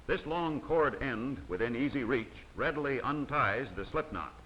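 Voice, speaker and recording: A man reading scripted narration for an instructional training film in a formal, measured, instructive manner. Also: medium pitch, expressive, muffled recording. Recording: faint hiss of old 16mm optical soundtrack.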